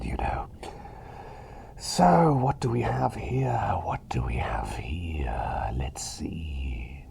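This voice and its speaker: A man's voice: mid-range voice, slight background noise, heavily acted. Whispery, swooping, eccentric plague doctor, played by a man. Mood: mildly deflated. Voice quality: breathy whisper mixed with soft voice. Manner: hesitant, rambling, repeats phrases, eager for approval. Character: cheery, eccentric, self-doubting male plague doctor. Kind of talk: ASMR roleplay, whispered personal attention.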